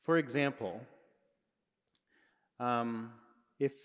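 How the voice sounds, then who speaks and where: Middle-aged male lecturer, loose and self-correcting, mid-range voice, booming lecture-hall echo. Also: very slow. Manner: casual, self-correcting explainer with chuckles. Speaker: physics lecturer. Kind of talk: live university lecture. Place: large lecture hall, indoors, with students. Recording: long reverb of a large hall.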